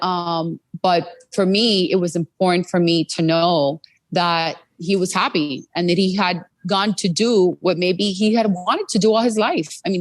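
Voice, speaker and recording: Earnest American woman, low voice, dry studio. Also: no echo. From United States. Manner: earnest, many "you know" fillers. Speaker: guest. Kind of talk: candid interview storytelling.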